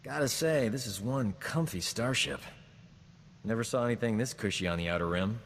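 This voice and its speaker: An actor playing a boastful, smooth-talking combat medic in a video game, joking and flattering himself. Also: male voice, mid-range voice, moderate pace, big-room sound, slight background noise, natural voice. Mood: cocky. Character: cocky boastful man, a frontier combat medic. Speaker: companion character in a video game. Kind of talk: video game character dialogue.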